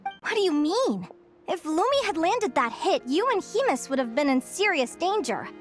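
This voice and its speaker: A woman playing a cheerful, stubbornly optimistic young adventurer in a video game, bubbly, excitable and earnest. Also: extremely high pitch, moderate pace, faint music behind. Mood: puzzled, concerned. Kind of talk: animated video game voice acting.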